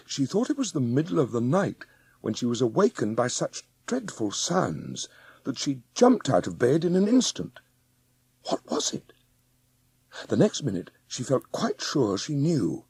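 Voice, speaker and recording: A man reading scripted storybook narration as the narrator of a dramatised audiobook, with a husky voice. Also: medium pitch, darkish tone, no echo.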